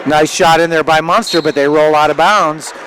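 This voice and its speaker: An older man with a fairly high voice calls wrestling on the radio. He talks in a halting, conversational manner, and there is clear venue noise all around him. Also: steady tone, some chatter behind. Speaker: play-by-play wrestling commentator, former wrestler and referee. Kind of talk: live radio sports commentary with casual banter. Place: indoor sports arena. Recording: noisy live arena broadcast. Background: loud venue noise.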